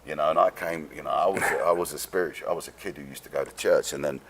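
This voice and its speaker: A man from London with a London English accent tells stories casually as an interview guest, rambling and halting in a streetwise, sweary way. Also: a bass voice, steady tone, creaky, fairly bright tone, slight background noise. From London, England.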